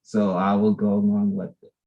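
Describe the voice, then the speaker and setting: A man's voice: fairly low pitch, monotone, normal speed, muffled recording. A man hosting an interview show, effusive and chatty as he welcomes his guest. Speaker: host.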